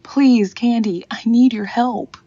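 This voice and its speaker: A woman's voice: fairly high pitch, steady tone, slow, dark tone, no room sound, lo-fi sound. A woman playing an earnest, fretful department store manager in a 1940s radio acting style. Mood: worried, pleading.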